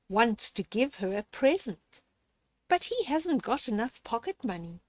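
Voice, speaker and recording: An older woman reads a children's storybook aloud with very lively, swooping intonation. She takes her time, pausing deliberately and speaking straight to the young listener in a gently instructive way. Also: on the high side. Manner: expressive, with deliberate pauses and dramatic gasps.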